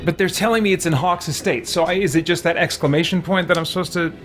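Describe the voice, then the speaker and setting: A man's voice: high voice, steady tone, fast talker, faint music behind. A man giving casual gameplay commentary, muttering to himself as he thinks aloud. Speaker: let's play host.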